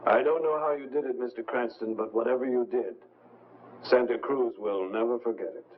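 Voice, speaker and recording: Actor as earnest revolutionary leader, tinny sound. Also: male voice, mid-range voice, fairly quick, background noise, lightly acted. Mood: grateful. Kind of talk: 1950s film acting. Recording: tinny vintage film soundtrack, loud music.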